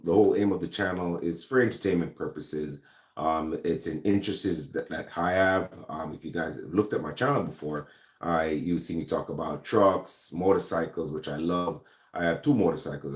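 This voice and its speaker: A man's voice: rumbling low, steady tone, moderate pace, reverberant. A man from Jamaica with a Jamaican accent, hosting a casual livestream, greeting viewers by name with many ums. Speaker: host of a gun-talk livestream. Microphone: laptop or camera mic.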